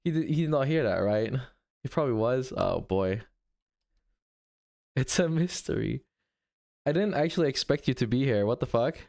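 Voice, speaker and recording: A man's voice: mid-range voice, lively intonation, moderate pace, creaky, pauses often, darkish tone. A man live-streaming, chatting casually with his viewers, thanking subscribers and rambling with laughs and restarts. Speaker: livestreamer.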